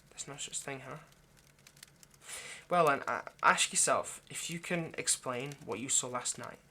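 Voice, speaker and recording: A man playing an anxious, lovesick centuries-old vampire kidnapper in an intimate roleplay monologue, speaking in a halting, coaxing, rambling way straight to the listener. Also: medium pitch, sharp tone. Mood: hurt, exasperated, then defensive, pleading.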